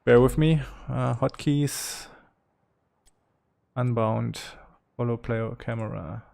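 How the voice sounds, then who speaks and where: A man's voice: medium pitch, gravelly, a little mellow. A man with a German accent, a native German speaker, giving unscripted video game commentary in a hesitant, thinking-aloud way. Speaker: gaming commentator.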